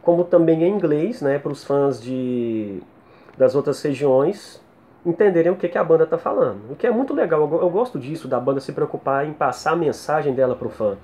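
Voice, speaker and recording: A man's voice: on the high side, animated, moderate pace, mellow. A Brazilian man in his thirties reviews music casually to camera in a conversational way, often adding little tag questions, speaking Brazilian Portuguese. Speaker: music reviewer, video host. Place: small plain room indoors. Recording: noisy home room ambience.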